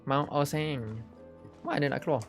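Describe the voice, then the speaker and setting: Low-voiced Malaysian woman livestreamer. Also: normal speed. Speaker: game livestreamer. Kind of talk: casual livestream commentary, mixing Malay and English. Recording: game music playing behind the voice.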